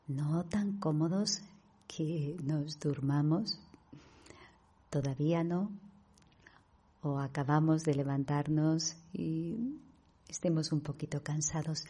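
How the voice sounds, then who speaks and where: A meditation guide, a woman with a Castilian Spanish accent, speaks in a low, very husky and breathy voice. Her delivery is slow and deliberate, calm and full of pauses as she addresses her listeners. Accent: Spanish from Spain. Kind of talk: guided meditation talk to an online audience.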